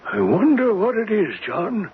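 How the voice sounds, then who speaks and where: An actor playing an earnest, awestruck professor in a radio drama, with a wondering, deliberate delivery. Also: male voice, high voice, big pitch swings, breathy edge, heavily acted. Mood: awed. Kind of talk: old-time radio drama acting. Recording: muffled, crackly old radio recording.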